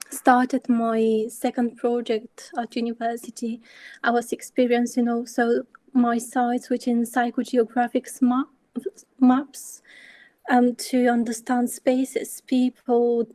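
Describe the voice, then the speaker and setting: A young woman in her twenties from Bulgaria presenting her work in an online talk, measured, with frequent ums. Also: on the high side, mellow, no room sound. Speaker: architecture student speaker.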